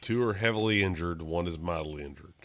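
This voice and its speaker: A man's voice: deep voice, brisk, a bit bright, a little hiss or hum. A man playing a tabletop role-playing game, speaking in a blunt, matter-of-fact way as he declares his actions. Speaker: player. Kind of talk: casual tabletop game play.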